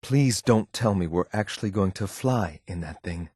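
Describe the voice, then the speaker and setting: A man voicing a stoic, dutiful synth agent for a video game, speaking in a clipped, measured, formal deadpan. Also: low-pitched, breathy edge, dry recording, studio quality. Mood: stern, detached. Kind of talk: scripted video game companion lines.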